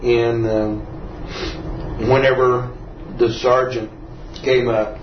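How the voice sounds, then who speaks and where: An older man from Texas, telling a personal story as a veteran in an interview, in a rambling, halting, self-correcting way. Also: medium pitch, little pitch movement. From Texas, United States. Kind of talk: personal oral-history storytelling. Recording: roomy, dull, faintly noisy, distant mic.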